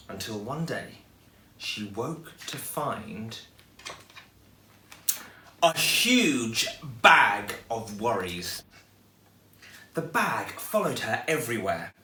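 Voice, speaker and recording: A man reading a children's story aloud in an expressive storytelling style. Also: fairly low pitch. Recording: echoey room, distant microphone.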